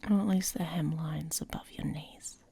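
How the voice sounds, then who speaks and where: Woman playing a husky, whispery, taunting she-wolf. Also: sits low, steady tone, moderate pace, dark tone, exaggerated voice. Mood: teasing, seductive. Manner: teasing, sardonic, often dropping into whispers. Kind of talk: intimate ASMR roleplay.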